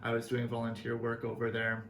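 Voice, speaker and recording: A man's voice: medium pitch, completely flat delivery, a little fast, slight background noise. A young Canadian man, a language teacher, explaining things in a friendly, earnest way with some fillers. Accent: Canadian English. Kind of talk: casual video lesson. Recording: echoey room, faint ambience.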